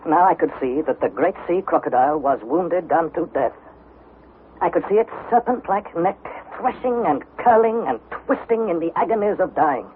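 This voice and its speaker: An actor playing an earnest young adventurer, narrating perils theatrically in a vintage radio drama. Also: male voice, on the high side, expressive, normal speed, a little hiss or hum, natural voice. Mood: grave. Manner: theatrical, suspenseful. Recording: narrow-band vintage radio broadcast, music behind.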